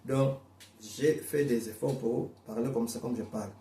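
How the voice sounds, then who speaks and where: A man preaching a sermon in as a Portuguese first-language speaker, emphatic, repeating and restarting phrases, swinging between slow stressed words and quick bursts. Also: mid-range voice, fairly even intonation, mellow, roomy sound. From Portuguese-speaking Africa.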